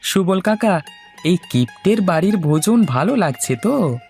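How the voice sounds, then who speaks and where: A woman's voice: lowish voice, big pitch swings, unhurried, darkish tone, faint music behind. A woman voicing a playful young village barber husband in a cartoon, easygoing and coaxing. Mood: polite. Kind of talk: Bengali cartoon voice acting.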